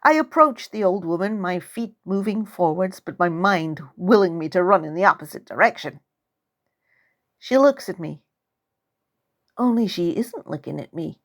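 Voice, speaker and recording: A woman's voice: fairly low pitch, lively intonation, normal speed. A woman narrating a first-person story as its author, in a dramatic, suspenseful style. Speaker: author reading own novel.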